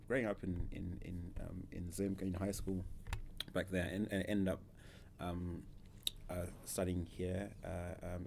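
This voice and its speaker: A man's voice: low voice, gravelly, darkish tone, slight background noise. A man in his thirties from Zimbabwe, a podcast guest who talks hesitantly with many fillers and restarts. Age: 30s. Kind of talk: casual interview.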